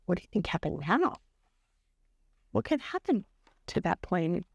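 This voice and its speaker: A woman school principal reading a storybook aloud to children in an expressive, questioning way. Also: lowish voice, a little slow, slightly rough, no room sound. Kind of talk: read-aloud storytelling with asides and questions to young listeners.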